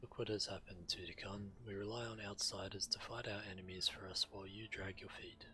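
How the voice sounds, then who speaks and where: A man's voice: fairly low pitch, fairly even intonation, a little fast, bright, forceful tone. A man voicing a frustrated walrus-folk hunter in a fantasy game, urgent and accusing. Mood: reproachful, urgent. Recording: background game noise.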